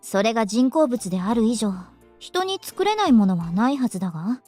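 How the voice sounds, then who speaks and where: A woman's voice: a little high, sing-song, racing, a little mellow, faint music behind. A woman voicing an earnest, absent-minded senior student in a Japanese visual novel, with a blunt, matter-of-fact manner.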